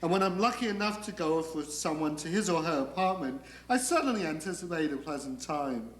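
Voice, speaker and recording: A man's voice: on the high side, lively intonation, brisk, lightly acted. A man acting a theatrical comic monologue as a neurotic, negative character, wry and confiding. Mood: wry, disdainful. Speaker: actor performing a monologue. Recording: echoey room.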